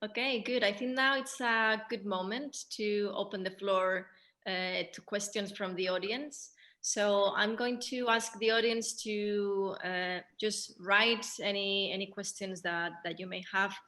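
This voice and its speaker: A woman with as her moderates a theatre discussion at a middling pitch. She speaks hesitantly, circling back on phrases and pausing as she thinks. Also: fairly even intonation, pushed and bright. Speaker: theatre director hosting a Q&A.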